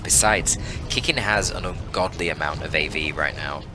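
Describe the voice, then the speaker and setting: A man's voice: a bass voice, normal speed, music in the background. A man giving analytical, informal live game commentary in a casual, mildly sweary, exclamatory way. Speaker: esports caster, channel host.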